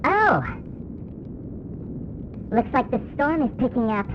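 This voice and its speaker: A woman's voice: sits high, sing-song, slow, lo-fi sound, background noise, heavily acted. A woman playing a cheerful, playful and bossy children's show host in an analog horror cartoon, with a sing-song, exaggerated delivery. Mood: playful, curious.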